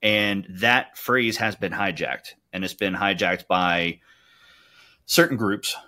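Male podcast co-host, fairly low, forceful tone, conversational delivery. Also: slow. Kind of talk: casual podcast discussion.

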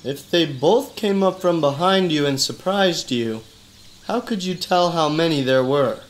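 An actor voicing a skeptical, hard-boiled private eye with dry, deadpan delivery in a noir detective radio play. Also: male voice, on the high side, unhurried. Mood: dry, probing. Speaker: detective and narrator.

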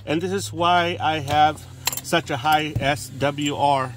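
Male DIY demonstrator, casual and plainspoken, unhurried halting delivery, slight background noise. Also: fairly high pitch, steady tone, fairly bright tone, poor audio. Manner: plainspoken, talks in short halting steps while working. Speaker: DIY radio hobbyist demonstrator. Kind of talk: hands-on how-to narration, casual. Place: in and beside a parked vehicle outdoors. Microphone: handheld phone, one-handed filming.

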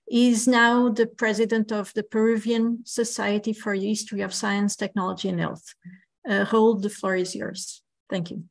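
A woman chairing an online academic session, introducing the next speaker in a halting way over a video call. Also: a little high, darkish tone. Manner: halting, with fillers. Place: indoors at home.

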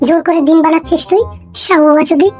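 A woman playing a bossy, scheming young girlfriend in a comic cartoon, coquettish and sharp-tongued. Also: very high voice, steady tone, fast talker. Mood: scolding. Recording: music behind the voice.

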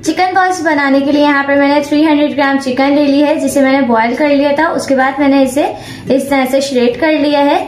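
High-voiced woman narrating a recipe. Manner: calm step-by-step instructions, English cooking terms mixed in. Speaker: cooking channel host. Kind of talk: recipe tutorial voice-over. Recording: echoey room with soft background music.

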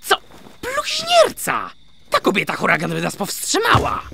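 A woman's voice: mid-range voice, huge pitch swings, unhurried, gravelly, noisy background, exaggerated voice. A woman voicing a manic, theatrical young male cat bootlegger in a cartoon, grandiose and teasing. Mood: teasing, melodramatic. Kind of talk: animated cartoon dubbing.

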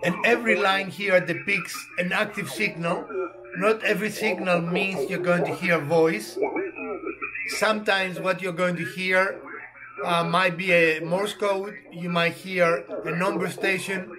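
Guy in his 50s doing a diy explainer, highish voice, kinda halting and repeats words. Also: fairly even intonation, crowd noise, rough recording. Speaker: presenter of a DIY hobby channel. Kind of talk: explanatory tutorial talk to camera.

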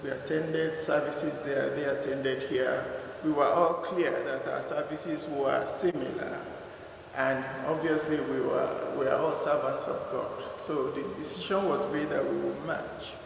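Slow Nigerian man, echoey church hall. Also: medium pitch, fairly even intonation, vocal fry, slight background noise. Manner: unhurried, conversational, with fillers. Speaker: church member giving a reflection. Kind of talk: informal spoken reflection at a church service.

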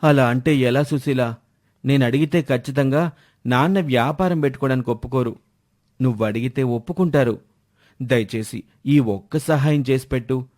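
A man's voice: mid-range voice, moderate pace, darkish tone, lightly acted. A man voicing an eager, ambitious young married son in a moral story, earnest and wheedling. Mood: pleading. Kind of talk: animated moral story dialogue.

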